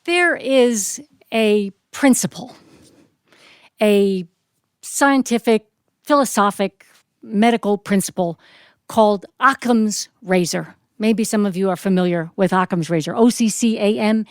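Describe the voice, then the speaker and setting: A woman's voice: medium pitch, measured pace, clear, resonant tone, dry recording, studio quality. An American woman performing as a storyteller, telling a story live. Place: performance studio with audience.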